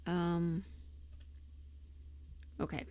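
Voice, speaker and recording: A woman's voice: on the low side, level tone, extremely slow, a little mellow, no echo. A woman chatting casually on a livestream, informal and rambling, muttering asides to herself as she thinks aloud. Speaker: livestream host and amateur voice performer.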